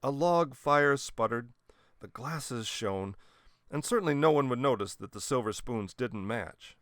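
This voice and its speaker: A man narrating a children's storybook in an expressive way with dramatic emphasis. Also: medium pitch, unhurried, no room sound, clean studio sound. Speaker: storybook reader.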